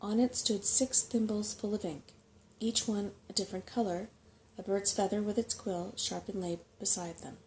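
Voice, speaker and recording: A woman reading a storybook in a soft-spoken ASMR style, as a bedtime narrator. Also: mid-range voice, fairly even intonation, normal speed, a little hiss or hum, poor audio. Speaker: reader of a bedtime story for relaxation.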